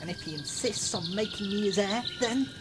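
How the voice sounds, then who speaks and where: Casting: female actor as defiant young prince, sulky and animated, comic fantasy audio drama. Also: medium pitch, normal speed, noisy background, close to an everyday voice. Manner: sulky, indignant. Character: petulant, defiant runaway boy prince.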